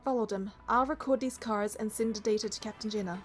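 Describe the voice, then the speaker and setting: A woman's voice: medium pitch, fast, soft background music, lightly acted. A woman voicing a young female hunter and bodyguard, narrating a romance game in an earnest, lightly teasing way. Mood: tense. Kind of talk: scripted first-person game narration and dialogue.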